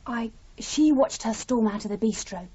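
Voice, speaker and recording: Quirky novelist, affected, airy, slightly raspy voice, muffled old radio drama sound. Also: female voice, on the high side, normal speed, dark tone. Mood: self-dramatising. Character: quirky, self-dramatising female novelist fond of food. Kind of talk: 1980s British radio drama acting. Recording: slightly dull archive radio recording.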